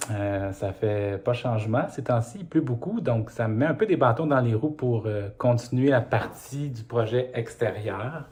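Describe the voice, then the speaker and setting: A man with a Québécois French accent, a pianist-composer talking casually to the camera at home, thoughtful and pausing often to find his words. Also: medium pitch, a little mellow. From Quebec. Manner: thoughtful, searching for words with frequent pauses. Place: indoors at home.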